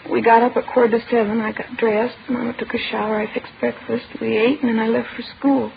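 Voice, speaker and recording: A woman playing a distraught, bitter teenage girl in an old radio crime drama, giving halting, short answers. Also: fairly high pitch, level tone, vocal fry. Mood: subdued. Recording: tinny, faintly noisy old radio broadcast.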